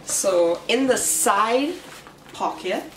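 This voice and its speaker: A middle-aged woman with a slightly raspy voice from a recent virus, chatting casually as she shows what she carries to work. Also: mid-range voice, lively intonation. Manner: chatty, unhurried, explains each item. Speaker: vlogger. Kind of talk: casual show-and-tell vlog. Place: small room indoors. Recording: roomy, distant camera sound with faint hiss.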